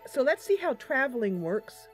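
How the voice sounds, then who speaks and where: A woman's voice: high-pitched, sing-song, normal speed, soft background music. A woman streaming a game live, chatting casually and affectionately with her viewers.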